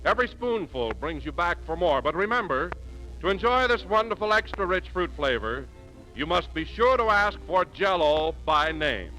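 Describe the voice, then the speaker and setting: A man's voice: sits high, lively intonation, normal speed, bright, forceful tone, faint music behind, poor audio. An American man announcing in a polished, emphatic 1940s radio commercial style. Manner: polished, emphatic sales delivery.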